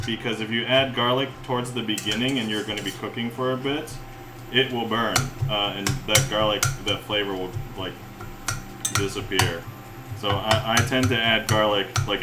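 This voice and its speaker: A man hosting a cooking livestream, rambling and chuckling often as he greets viewers, with a relaxed-sounding voice. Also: fairly low pitch, heavy background noise. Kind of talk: casual livestream chat while cooking. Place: home kitchen. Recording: echoey room with loud cookware clatter. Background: clinking cutlery, pots and pans.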